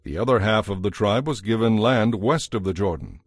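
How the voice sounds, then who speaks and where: Measured male narrator, very dry sound. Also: lowish voice, lively intonation, no echo. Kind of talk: scripted audio Bible narration.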